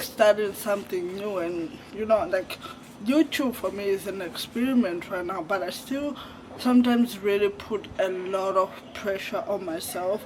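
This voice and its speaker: This is a twenty-year-old man from Zimbabwe talking to his vlog audience in a high, light voice. He rambles candidly, leaning on fillers, and takes his time over each thought. Faint crickets and insects chirp in the background. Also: a little hiss or hum, low-quality recording. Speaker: vlogger. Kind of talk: casual reflective chat to camera.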